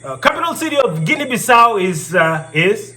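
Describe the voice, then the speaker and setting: A man performing as a live storyteller and riddle host, theatrical, repeating phrases and pausing for effect. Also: high voice, very animated, noisy background. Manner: theatrical, repeats phrases, pauses before answers. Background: music.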